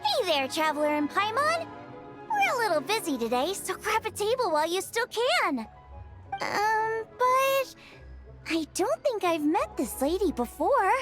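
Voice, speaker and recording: A woman's voice: very high voice, big pitch swings, unhurried, over music, heavily acted. A woman voicing a friendly, earnest young girl cook in a video game, youthful and informal. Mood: welcoming. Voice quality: youthful, girlish.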